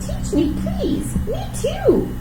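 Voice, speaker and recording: A woman voicing tiny, eager storybook squirrels, squeaky and pleading. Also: huge pitch swings, slow pace, full character voice. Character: tiny eager squirrels begging to learn dancing. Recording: roomy, distant mic, faint noise.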